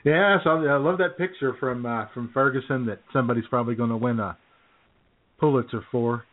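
A man's voice: mid-range voice, brisk. A man co-hosting a radio show with casual, wry joking banter and frequent chuckles, heard over a phone-like connection. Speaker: co-host of a political comedy radio show. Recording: tinny phone-line internet radio audio.

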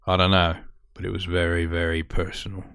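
A man's voice: sits low, monotone, brisk, very noisy. A man playing a polite, concerned local in a radio drama.

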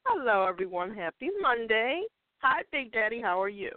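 A woman's voice: on the high side, animated, moderate pace, dry recording. Bubbly woman co-host on a phone line. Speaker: radio co-host. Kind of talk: casual radio chat.

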